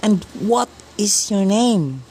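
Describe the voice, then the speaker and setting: A woman playing a formal hospital registry clerk in a radio play, polite, official and measured. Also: medium pitch, lively intonation, warm tone, slight background noise. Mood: patient, official.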